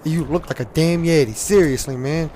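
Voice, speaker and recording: A hoarse teenage man from Louisiana, rambling casually with lots of fillers and laughs while commenting on a game at a desk mic. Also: a little high, normal speed, warm tone, slight background noise. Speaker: gaming commentator.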